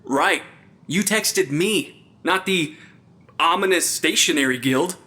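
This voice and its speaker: Young male actor as a jittery, wry supernatural sleuth; bright tone, quippy and faintly pompous, comedy audio drama. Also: on the high side, slow. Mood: uneasy, wry. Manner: dry, quippy, slightly pompous. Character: wry, nerdy, jittery man investigating the supernatural. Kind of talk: audio drama acting, naturalistic comedic banter.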